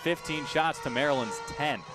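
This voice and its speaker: A man's voice: on the high side, moderate pace, music in the background. Man calling live soccer play-by-play. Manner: clipped running description, urgent and emphatic. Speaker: play-by-play announcer. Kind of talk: live radio soccer commentary.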